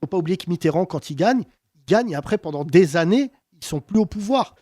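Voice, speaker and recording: A man hosting a heated political debate, talking with passion and emphasis and wide swings in pitch. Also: animated, a little fast, warm tone, no room sound. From France. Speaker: host.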